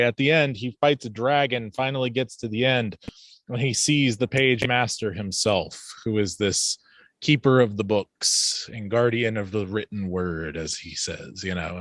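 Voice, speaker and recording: Guy hosting a laid-back podcast, kinda low voice, dry close sound. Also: fairly bright tone, no echo. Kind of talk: casual podcast talk about books and films.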